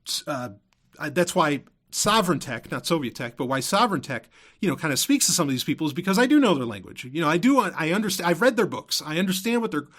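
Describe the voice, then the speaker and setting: A man's voice: a little high, a bit bright. A man hosting a podcast in a casual, unscripted monologue, rambling and emphatic, often repeating himself and swearing casually. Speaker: podcast host.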